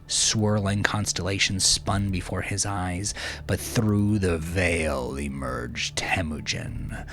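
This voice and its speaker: A man reading scripted fiction in a dramatic, measured way, dropping into hushed, breathy phrase endings. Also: sits low. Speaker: audiobook narrator.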